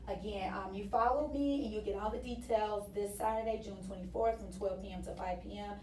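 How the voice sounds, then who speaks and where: Female American interview guest, soft mellow tone, casual promotional talk, distant roomy mic. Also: mid-range voice, fairly even intonation, brisk, slight background noise. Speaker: interview guest, business founder.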